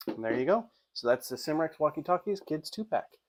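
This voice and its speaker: A man's voice: on the high side, big pitch swings, fairly quick, slight background noise. A man giving a casual hands-on unboxing review in an informal, off-the-cuff way. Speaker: product reviewer.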